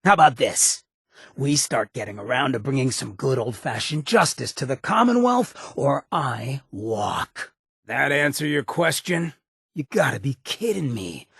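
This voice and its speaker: An actor playing a swaggering, sardonic ghoul gangster companion in a video game, with a gravelly rasp and a wry, streetwise drawl. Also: male voice, medium pitch, very animated, a little slow, a bit bright, dry recording, studio quality, full character voice. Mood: annoyed, threatening. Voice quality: gravelly, raspy.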